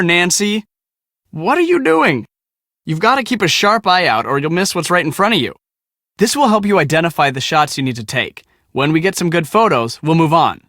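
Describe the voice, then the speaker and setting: An actress playing an excitable, impatient young storm chaser in a video game, with a brash, bossy, emphatic delivery. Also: female voice, mid-range voice, dry recording, clean studio sound.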